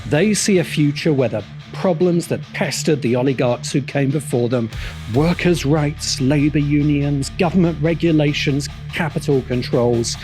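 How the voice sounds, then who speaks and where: A man's voice: on the high side, moderate pace, darkish tone. A man delivering scripted polemical commentary as a video essay host, with a dramatic, scornful, emphatic manner. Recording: music bed behind voice. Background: background music.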